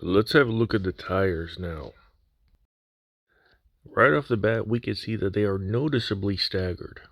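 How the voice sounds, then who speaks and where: A man's voice: fairly low pitch, unhurried, a bit bright, no echo. A man narrating an unboxing voice-over of a scale model kit in a casual way with dry humor, his voice a little worn after nearly losing it. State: recovering from near voice loss.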